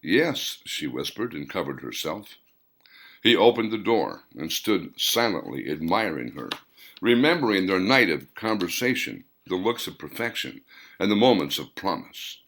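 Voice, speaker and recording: A man narrates a fiction audiobook in a low voice. His delivery is deliberate and broken into short phrases, with lively, wide-ranging intonation. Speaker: author reading own audiobook.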